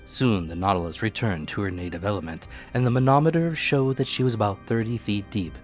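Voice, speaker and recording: A man's voice: lowish voice, fairly quick. A man giving a dramatic scripted audiobook narration in the first person. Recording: echoey room, music behind. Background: music.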